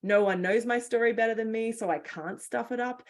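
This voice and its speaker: A woman's voice: on the high side, fairly even intonation, a little fast. A woman hosting a webinar, native English speaker, talking in a casual, chatty way with frequent fillers. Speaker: webinar host.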